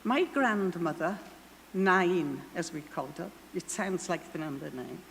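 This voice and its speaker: A woman's voice: on the low side, lively intonation, gravelly, a bit bright. Older Welsh woman in a church. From Wales. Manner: storytelling, unhurried with slow emphatic phrases. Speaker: guest worship leader. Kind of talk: reflective sermon talk. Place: church sanctuary. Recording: long church reverb, faint hiss.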